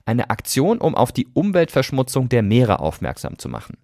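A man's voice: mid-range voice, wildly swooping pitch, moderate pace, creaky, darkish tone, dry recording, clean studio sound. A man hosting a podcast, presenting scripted narration with some conversational phrasing. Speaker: podcast host.

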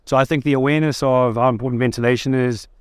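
A man's voice: medium pitch, steady tone, fast talker, smooth, dry recording, clean studio sound. A South African man from Durban, a guest in a conversational interview recorded at a trade fair stand, talking in an easygoing, thoughtful way with light jokes.

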